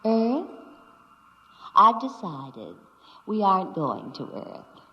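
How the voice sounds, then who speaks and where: A woman playing a tender, possessive robot ship computer in love, speaking slowly and coaxingly in a 1950s radio drama. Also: medium pitch, big pitch swings, dull audio. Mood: loving, resolute. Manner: slow, romantic, coaxing. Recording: reverberant, noisy old radio recording.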